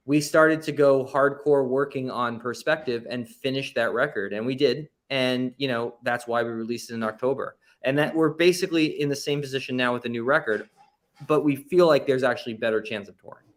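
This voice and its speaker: Man chatting casually as an interview guest. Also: medium pitch. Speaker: touring band musician, interview guest.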